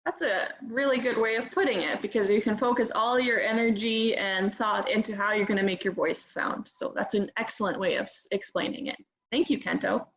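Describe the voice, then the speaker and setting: A woman's voice: medium pitch, fairly even intonation, fairly quick, a bit bright, dull audio. A woman interviewing a student as an instructor, chatting in an encouraging way.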